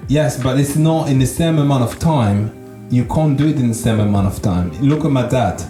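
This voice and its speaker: A man with a slight French accent talks casually, looping back over his own words as he works toward a point. His voice sits in a medium pitch and carries the echo of a live room, with music playing behind him. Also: expressive, normal speed, mellow. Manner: repeats himself, restarts often. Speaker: podcast co-host. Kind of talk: casual chat.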